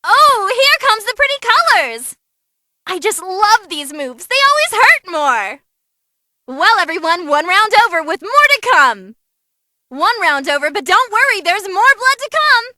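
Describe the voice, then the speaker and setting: A woman's voice: very high-pitched, very animated, measured pace, sharp tone, no echo, heavily acted. A woman playing a perky, bloodthirsty, non-human fight announcer in a game-style performance, theatrical and playful. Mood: thrilled, showy. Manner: gleeful, dramatic, playful. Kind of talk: excited ringside fight commentary.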